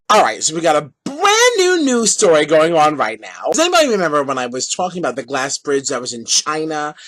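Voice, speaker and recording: A man hosting a casual vlog, talking in a sassy, giggly, animated way while he has a cold. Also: on the high side. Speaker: vlog host.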